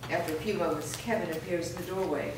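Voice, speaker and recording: A woman's voice: fairly low pitch, fairly even intonation, quick pace. A woman reading stage directions as scripted narration at a staged screenplay reading. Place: live staged reading in a reverberant room. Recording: distant, very echoey room, background noise.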